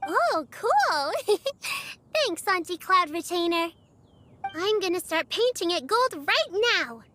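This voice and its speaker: A woman's voice: extremely high pitch, big pitch swings, takes it slowly, bright, forceful tone, soft background music, full character voice. An actress voicing a cheerful, curious little girl who talks sweetly and eagerly.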